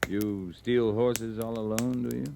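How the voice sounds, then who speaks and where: An actor playing a terse, dry-humored cavalry captain in a 1950s radio western, with a gruff, blunt manner. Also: male voice, fairly low pitch, little pitch movement, measured pace. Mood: dry, probing. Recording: old radio recording with background noise.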